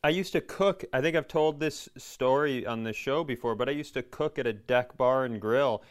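A man in casual comic banter as a podcast co-host, opinionated and quick to swear. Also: medium pitch. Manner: opinionated, profane. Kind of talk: casual comedic banter and ranting.

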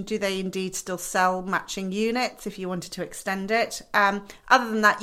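Bright-voiced woman co-host. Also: medium pitch, clear, resonant tone. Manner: lively, laughs easily, chips in. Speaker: podcast co-host. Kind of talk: casual chat.

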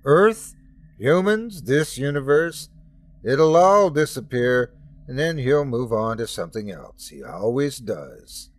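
Man putting on a higher, intense voice for a defiant divine son, lively rises and falls. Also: slow pace. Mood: exasperated. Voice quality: pleading, raised and intense. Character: impassioned grown son defying his divine father.

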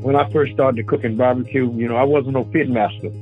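A man, a barbecue pitmaster telling his own story in a folksy, animated way. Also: mid-range voice, fairly quick. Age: 70s. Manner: folksy, animated, loose Southern grammar. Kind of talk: personal storytelling. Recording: phone-like narrow sound with faint music.

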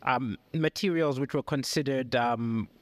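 A man's voice: mid-range voice, unhurried, no room sound. A man from Malawi, a comedian, chatting as a podcast guest and telling stories with frequent laughs. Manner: animated storyteller, laughs often. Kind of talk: casual interview chat.

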